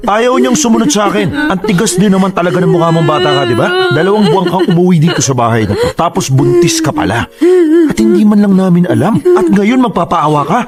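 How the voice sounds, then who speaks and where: A man's voice: high voice, very animated, fast. An actor playing a furious, domineering middle-aged Filipino father, scolding and badgering in a radio drama. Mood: furious, demanding. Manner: scolding, confrontational, badgering with repeated questions. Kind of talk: Tagalog radio drama acting.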